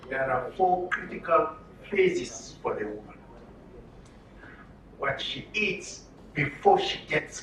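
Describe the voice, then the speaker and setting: Nigerian male trainer, emphatic and questioning, very sharp forceful tone, roomy distant recording. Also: a little high. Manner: emphatic, questioning the listeners with rhetorical tags. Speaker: speaker at a training session. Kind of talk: interactive lecture to an audience. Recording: roomy, distant mic with background noise.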